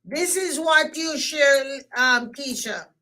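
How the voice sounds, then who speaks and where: Loud Jamaican woman ending a live stream. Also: high-pitched, slow. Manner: loud, emphatic, repeats herself. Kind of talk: casual live stream sign-off.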